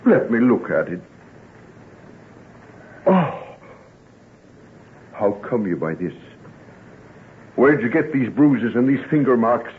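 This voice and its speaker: A thin, hissy old radio drama recording. a young rural English countryman, tender and protective toward his sweetheart, with an animated, swooping delivery. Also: medium pitch, slow pace, pauses often, phone-quality sound. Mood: protective, indignant.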